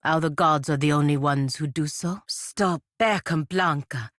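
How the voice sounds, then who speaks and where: A proud, dutiful warrior woman in a fantasy game, her voice low and close in a dry space. She speaks, formal and measured, with a sharp, disdainful edge. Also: no echo. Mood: bitter scorn. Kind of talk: scripted fantasy video game dialogue.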